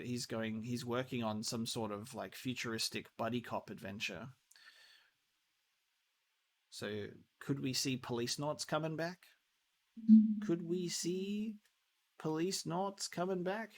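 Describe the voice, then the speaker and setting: Man, mid pitch, creaky voice, game streamer, casual, rambling, thinking aloud. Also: fairly bright tone. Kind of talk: casual livestream sign-off chat.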